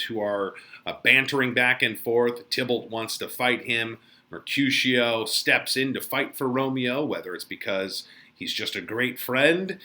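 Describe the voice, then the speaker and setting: Male teacher for casual literature lesson commentary. Forceful, bright tone, unhurried delivery, theatrical and colloquial. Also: medium pitch. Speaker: English teacher.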